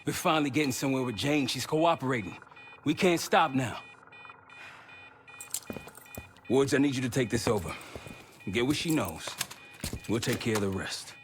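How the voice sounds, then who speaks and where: A man playing a steady, commanding operative leading his team in a game cutscene. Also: medium pitch, brisk, soft background music, lightly acted. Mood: decisive. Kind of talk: dramatic game cutscene acting. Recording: loud score under the voice.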